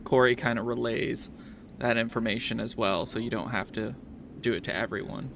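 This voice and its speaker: A man narrating a tabletop game as game master in a casual, halting way, often repeating words as he explains. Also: medium pitch, fairly even intonation, gravelly, noisy background.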